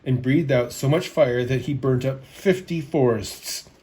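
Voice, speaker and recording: A man hosting a storytime, reading a picture book aloud in an expressive storytelling style. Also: medium pitch, unhurried. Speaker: storyteller, host.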